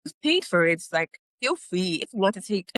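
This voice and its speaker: A woman from Ghana, an animated, chatty webinar panelist full of fillers. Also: on the low side, moderate pace, dry recording, studio quality. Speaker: webinar panelist, exam taker. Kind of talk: casual panel discussion.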